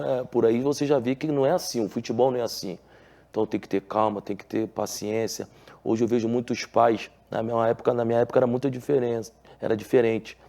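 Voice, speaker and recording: A man's voice: medium pitch, mellow. A man with a Carioca accent, a former footballer telling his story in a TV interview, earnest, with many filler tags and restarts. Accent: Carioca Portuguese. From Rio de Janeiro, Brazil. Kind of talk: relaxed TV interview.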